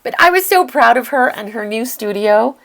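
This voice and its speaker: An older woman narrating a casual flip-through of her craft journal, chatty and gushing, her voice slightly hoarse from a lingering illness. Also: a little high, expressive, normal speed. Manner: chatty and gushing, with occasional throat clearing.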